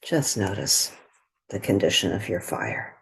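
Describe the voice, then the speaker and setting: A woman guides a meditation at a very unhurried pace. Her voice is soft and husky, with a breathy edge. She speaks gently and soothingly in short phrases, leaving room between them. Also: extremely low pitch, low-quality recording.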